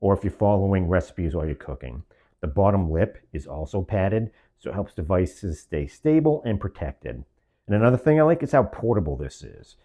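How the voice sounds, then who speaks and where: A man's voice: deep voice, big pitch swings, normal speed, dark tone. A man giving a semi-scripted product review, explaining features step by step in short phrases.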